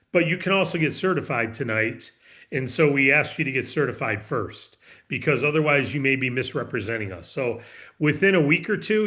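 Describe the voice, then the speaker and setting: A man's voice: medium pitch, sharp tone. A man hosting a business training call, explaining the steps patiently and repeating key points in a casual, reassuring way.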